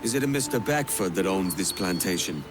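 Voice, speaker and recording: An actor playing a young pirate captain in a video game, wry, roguish and swaggering. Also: male voice, sits low, animated, fairly quick, background noise. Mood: sly, keen. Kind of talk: video game cinematic acting.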